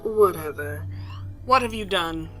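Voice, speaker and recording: An imperious, impatient evil empress acted by a woman in a fantasy audio drama. She gives haughty commands and relishes her threats, speaking slowly with a lively, swooping pitch over faint music. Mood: impatient, commanding.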